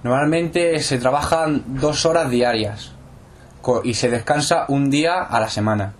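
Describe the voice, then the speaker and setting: A young man speaks with a southern Spanish accent that has an Andalusian tinge. His voice sits at an ordinary middle pitch, and he answers interview questions in a steady, explanatory way, often pausing on fillers. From Spain. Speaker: interviewed footballer.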